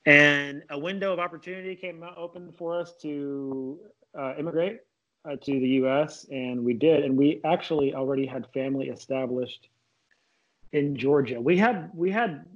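A man's voice: on the high side, moderate pace, fairly bright tone. Rambling man on lo-fi audio. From Lebanon. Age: late 30s. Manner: rambling, restarts mid-sentence, self-correcting. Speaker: interview guest. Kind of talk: casual conversational interview.

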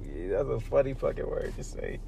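A man's voice: mid-range voice, big pitch swings, fairly quick, vocal fry, warm tone. A man in his early twenties co-hosting a podcast, talking in a laid-back, slangy way. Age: 20s. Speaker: podcast co-host. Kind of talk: casual chat.

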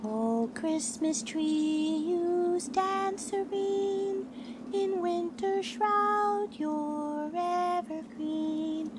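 A woman's voice: very high voice, very slow, distant mic, loud music behind the voice, heavily acted. A woman playing a ragged poor street child, singing in a radio drama. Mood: plaintive.

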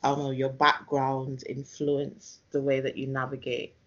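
Male interview host, mid-pitched, casual and tentative, meandering delivery, slightly muffled lo-fi audio. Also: monotone, measured pace, a bit bright. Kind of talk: casual interview, reflective questions.

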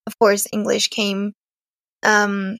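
Slow-talking young woman from Serbia. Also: medium pitch, monotone, creaky, a bit bright, dry recording, clean studio sound. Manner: laughs often, hesitant with many ums. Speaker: podcast guest. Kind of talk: casual interview chat.

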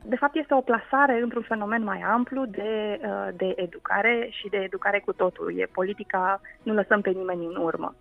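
A woman explains as a guest in a radio interview, often pausing mid-phrase as she searches for words. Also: mid-range voice, creaky, narrow, tinny audio. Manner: hesitant, with frequent pauses mid-phrase. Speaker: guest food culture researcher.

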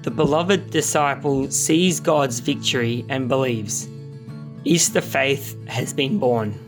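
A man's voice: medium pitch, slow pace. A man giving scripted devotional narration as an introducer. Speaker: narrator introducing reading and reflection. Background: plucked guitar music.

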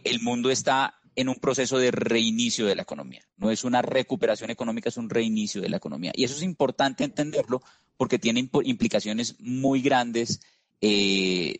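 A Colombian man giving a measured market analysis as an interview guest, with frequent "eh" fillers and restarts. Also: mid-range voice, no echo. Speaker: investment strategist guest.

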